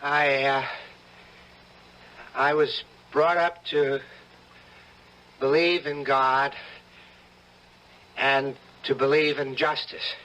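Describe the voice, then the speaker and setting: An actor playing a desperate young defendant, stammering and pleading, in old-time radio drama style. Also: male voice, medium pitch, bright, forceful tone, low-quality recording. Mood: bitter, resolute.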